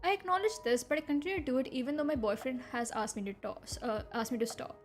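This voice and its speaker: A woman's voice: high voice, quick pace, faint music behind. A young woman whose first language is Hindi, reading online posts aloud on a stream and reacting with blunt, incredulous commentary and frequent laughter. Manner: animated, incredulous, laughs often, blunt with swearing. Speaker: VTuber streamer.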